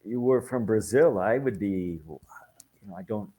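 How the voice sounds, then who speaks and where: An American man speaks in a lowish voice as an English tutor over a video call. He talks in a friendly, rambling way and fills his sentences with "you know" and "like.". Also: moderate pace, mellow. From United States. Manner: friendly, anecdotal, many fillers. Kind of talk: casual conversation lesson.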